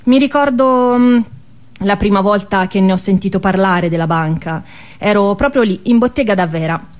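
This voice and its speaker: A woman's voice: mid-range voice, moderate pace. Casting: woman with Tuscan accent, medium register, narrating a theatre monologue in first person. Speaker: stage actress performing her monologue.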